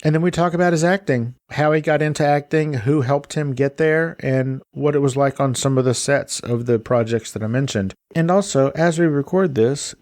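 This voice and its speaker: Excited man hosting a podcast. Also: mid-range voice, no echo, studio quality. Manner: enthusiastic, gushing, quick asides. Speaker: podcast host. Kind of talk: casual podcast interview.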